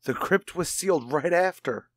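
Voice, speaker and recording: An actor playing an anxious husband in a radio mystery, halting and earnest as he confides in a friend. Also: a little high, animated, dry recording. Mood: shaken. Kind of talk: radio mystery drama acting.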